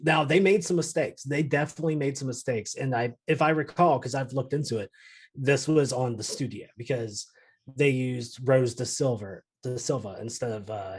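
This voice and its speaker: A man's voice: mid-range voice, breathy edge. A man on a casual film and game panel, talking in an emphatic, sardonic way with plenty of swearing. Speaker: podcast panelist.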